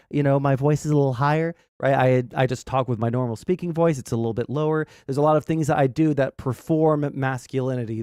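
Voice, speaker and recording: A man's voice: medium pitch, a little mellow, clear, resonant tone, dry recording, clean studio sound. A man hosting a casual gaming livestream, rambling through his points in a blunt, sweary way and often checking in with "right?". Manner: rambling, halting explanations peppered with "right?". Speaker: livestream host.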